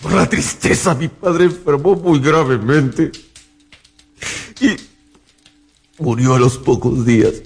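A man acting a grief-stricken, vengeful young man in a dramatized radio novel, speaking in a theatrical, slow and emphatic way. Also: on the high side, gravelly, breathy edge, soft background music, full character voice. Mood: grieving.

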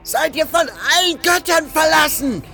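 A man playing an outraged, commanding baron who shouts at his men in a fantasy audio drama. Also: very high voice, animated, faint music behind, pitched above the performer's own voice, full character voice. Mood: raging. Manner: shouting, outraged, drawn-out cries.

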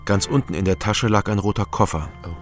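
An actor playing a wary middle-aged East German private detective in a radio crime drama, terse and matter-of-fact. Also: male voice, lowish voice, brisk, soft background music, natural voice. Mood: sober, reflective. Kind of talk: radio crime drama with first-person narration.

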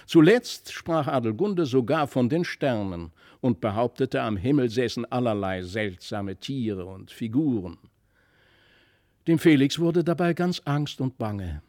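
Mid-pitched man narrating literary tale. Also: animated, a little slow, darkish tone. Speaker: narrator, audiobook reader. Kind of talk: expressive literary storytelling.

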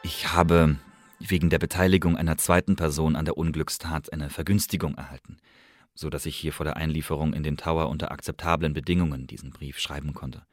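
A man's voice: a bass voice, flat delivery, fairly quick, no room sound, faint music behind. An actor playing a young Elizabethan poet-spy in a radio play, voicing his letters home in a lyrical, savoring manner. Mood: solemn, tender. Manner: lyrical, savoring descriptive lists. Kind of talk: German radio play monologue, literary letter.

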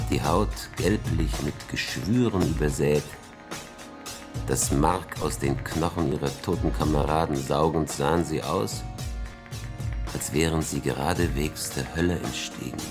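A grave seafarer with an extremely low, bottomless voice. He recounts horror at sea in a measured, dramatic radio play style, with music clearly playing underneath. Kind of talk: dramatic first-person horror narration.

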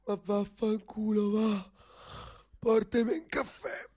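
A man's voice: high-pitched, steady tone, takes it slowly, darkish tone. An actor playing a crude young man from the Roman slums, lazy and irritable, with a Roman accent and coarse street slang in a radio play. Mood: sleepy, grumpy. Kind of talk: stage radio drama dialogue.

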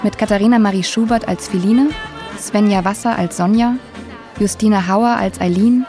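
A woman's voice: mid-range voice, fairly even intonation, moderate pace, dark tone. A woman reading scripted radio play credits as an announcer, with music behind.

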